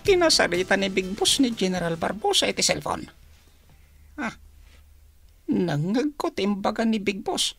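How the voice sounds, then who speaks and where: A woman's voice: mid-range voice, sing-song, moderate pace, creaky, long pauses, husky, exaggerated voice. A woman with a Filipino accent playing an anxious informant in a radio drama. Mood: urgent, secretive.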